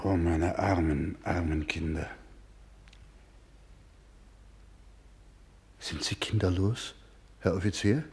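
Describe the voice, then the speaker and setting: An actor in a German radio drama playing an anguished, devout doctor who cares for orphans, speaking gravely, solemnly and pleadingly. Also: male voice, low voice, a little slow, darkish tone. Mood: stricken.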